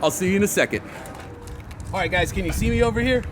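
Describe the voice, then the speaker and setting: A man hosting a graffiti how-to, his voice hoarse, chatting casually and rambling in slang while he paints outdoors. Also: sits high, fast talker, a little hiss or hum. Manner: laid-back, rambling, slangy. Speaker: graffiti artist and channel host. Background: hip hop backing beats.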